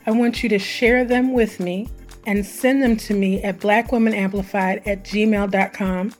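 A woman hosting her own podcast, her voice hoarse and scratchy, talking in an animated, conversational way and drawing out words for emphasis. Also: medium pitch. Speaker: podcast host. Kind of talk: solo podcast intro.

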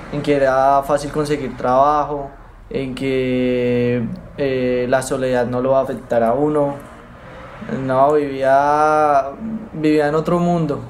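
A man from Bogotá with a Colombian accent, telling his story haltingly with many pauses, fillers and restarts. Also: medium pitch, level tone, slight background noise. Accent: Bogotá Colombian Spanish. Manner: hesitant, many fillers and restarts, soft-spoken. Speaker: interviewee, migrant. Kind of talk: halting personal account.

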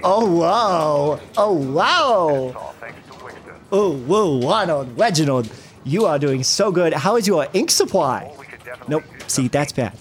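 A man playing a fussy office sales rep in an audio comedy, halting as he talks and given to sing-song cooing. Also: on the high side, animated.

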